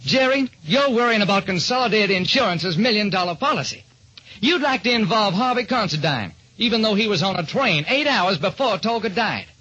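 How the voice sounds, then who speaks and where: Man acting as brash police lieutenant, high sharp voice, cocksure, old radio crime drama, muffled vintage sound. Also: animated, fairly quick, breathy edge, exaggerated voice. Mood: needling, smug. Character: cocksure, hasty male homicide police lieutenant. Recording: dull old-time radio recording.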